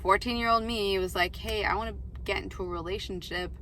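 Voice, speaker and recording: Chatty teenage girl, bright voice. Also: mid-range voice, steady tone, fairly quick, a little hiss or hum, low-quality recording. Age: late teens. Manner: chatty, rambling with many "like"s and self-quotes. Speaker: vlogger. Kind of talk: casual talking-to-camera vlog. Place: parked car, outside a coffee shop.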